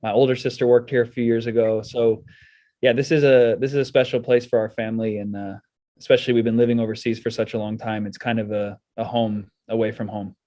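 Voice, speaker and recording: A middle-aged man with an American accent, giving measured, explanatory answers as a guest in a casual interview. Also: medium pitch, level tone, mellow, dry recording, dull audio. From United States and South Korea. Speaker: school sports director, interview guest.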